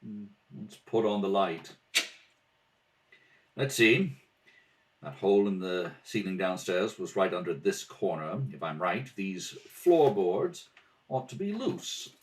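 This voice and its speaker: Man playing a wry, lively detective husband. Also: low voice, takes it slowly, distant mic, a little hiss or hum. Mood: cool, deducing. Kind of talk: amateur radio mystery read-through. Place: at a kitchen table at home.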